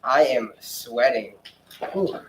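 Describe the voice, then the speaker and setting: A man's voice: mid-range voice, takes it slowly. A young man chatting loosely and joking as a co-host in a hot studio. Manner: joking, loose, laughs often. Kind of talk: casual chat. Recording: echoey room with loud noise.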